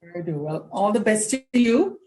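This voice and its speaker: A woman's voice: mid-range voice, animated, normal speed, dark tone. Woman moderating a panel. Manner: clear, explanatory, emphatic.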